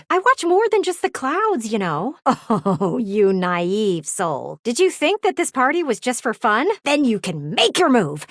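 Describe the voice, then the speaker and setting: An actress voicing a pushy, blunt talking window in a video game, with bossy, dramatic emphasis. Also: female voice, a little high, no room sound, clean studio sound. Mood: condescending, insistent.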